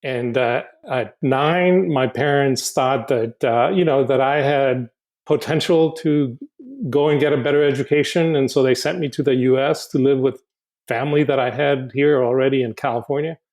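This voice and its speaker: An older man from Honduras speaks as a podcast guest with a mid-range voice. He talks in a halting, thoughtful way. He often stumbles and repeats words as he gathers his thoughts. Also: mid-range voice, darkish tone. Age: 60s. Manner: halting and reflective, frequent restarts and repeated words. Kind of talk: casual podcast interview.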